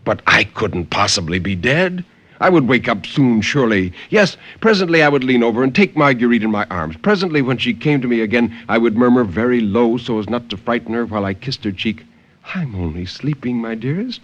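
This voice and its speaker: A man playing a troubled, tender husband believed dead, in a deliberate 1950s radio horror monologue. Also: medium pitch, expressive, fairly bright tone. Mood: tender, anxious. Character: anguished French husband believed dead, telling his tale. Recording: noisy vintage 1950s radio recording.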